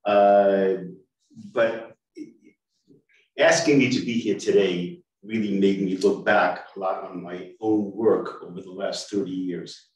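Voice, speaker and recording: An older man with an American accent, a native English speaker, giving a slow academic talk and often restarting his words. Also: deep voice, a little mellow. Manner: slow, hesitant, repeats words. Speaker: panel speaker. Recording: echoey room, far from mic.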